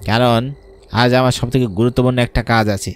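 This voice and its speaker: A man's voice: medium pitch, little pitch movement, quick pace, a little hiss or hum, lightly acted. A man voicing a greedy, murderous younger brother, curt and commanding, in a horror cartoon. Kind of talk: Bengali horror cartoon acting.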